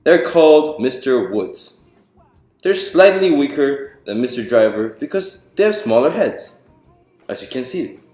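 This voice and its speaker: A man with a mid-pitched voice presents a picture book aloud in a reverberant room. He explains things step by step and addresses his listeners directly, as at a storytime. Music is heard along with his reading. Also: big pitch swings, measured pace, a little mellow. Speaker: author reading own picture book to an audience. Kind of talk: storytime read-aloud to an audience.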